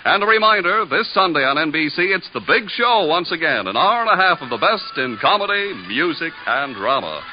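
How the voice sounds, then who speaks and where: A male vintage radio announcer reads in a polished, formal way. His fairly high voice rises and falls freely through a dull recording. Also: big pitch swings, a little fast, hardly any pauses, over music. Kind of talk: 1950s radio announcing, scripted.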